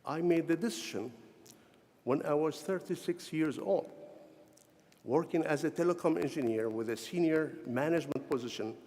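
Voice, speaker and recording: A middle-aged or older man from Syria with a Syrian Arabic accent gives a formal, prepared ceremonial speech in measured, deliberate short phrases. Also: a little high, darkish tone. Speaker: honorary doctorate recipient giving convocation address. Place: large convocation hall, speaking to graduates. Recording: echoey large hall with long reverb.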